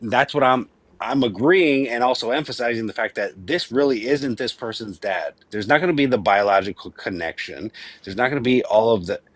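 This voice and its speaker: A man's voice: mid-range voice, brisk, no room sound. Earnest man near forty, dry sound. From Venezuela. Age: 30s. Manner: earnest, emphatic, restarts often. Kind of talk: casual debate chat.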